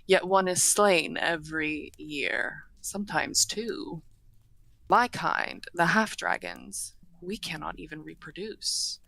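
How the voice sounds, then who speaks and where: Woman playing a low, courteous half-dragon lady. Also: gravelly, bright, forceful tone, heavily acted, low-quality recording. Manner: formal, measured, eloquent. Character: courteous, earnest female half-dragon broker seeking peace. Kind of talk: scripted fantasy audio roleplay, addressing the listener.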